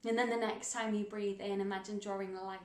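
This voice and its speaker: A woman leading a guided meditation in a gentle, soothing, unhurried manner, leaving long pauses between instructions. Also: medium pitch, level tone. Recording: roomy, echoing sound.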